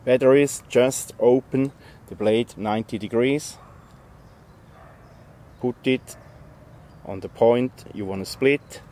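A man's voice: medium pitch, slow pace, pauses often, dark tone. A man, explaining a bushcraft task step by step in a forest. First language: German. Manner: plain, friendly, step-by-step explaining. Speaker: bushcraft instructor. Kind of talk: outdoor tutorial demonstration. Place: forest outdoors.

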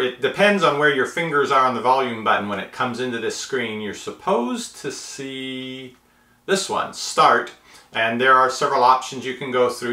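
A man's voice: mid-range voice, sharp tone. A man in his fifties hosting a tech tutorial, explaining each step methodically in a small room. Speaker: host of a tech tutorial channel. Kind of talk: step-by-step how-to instruction. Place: small indoor room, seated at a table. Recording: roomy indoor echo.

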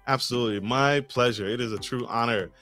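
Upbeat guy hosting a podcast into a studio mic, bright forceful voice, faint music under it. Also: medium pitch, brisk. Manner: energetic, stumbling repetitions. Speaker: podcast host. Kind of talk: podcast interview. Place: home studio with green screen. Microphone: studio mic with headphones.

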